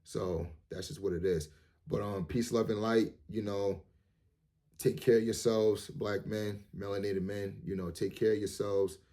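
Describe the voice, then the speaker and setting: A man in his thirties with a low, deep voice talks straight to his viewers. He rambles and restarts, repeats words, and fills his pauses with "um" and "you know". His tone stays earnest and motivational. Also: fairly even intonation, dark tone. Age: 30s. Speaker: vlogger. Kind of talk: casual monologue to camera.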